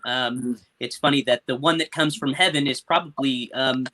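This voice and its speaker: A man's voice: mid-range voice, fairly even intonation, moderate pace, bright, forceful tone. A young man, an actor, answering interview questions casually over a video call. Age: young adult. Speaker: stage actor and Foley performer. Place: indoors in a room at home, on a video call. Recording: clicky video call with faint noise.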